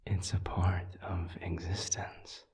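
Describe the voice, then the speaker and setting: Male actor as calm demon counselor, very low pitch, breathy half-whisper, extremely slow, deliberate pauses, gently earnest, intimate audio roleplay. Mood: soothing, earnest. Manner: measured, deliberate, pausing between phrases. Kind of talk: intimate audio roleplay, soft-spoken monologue to listener.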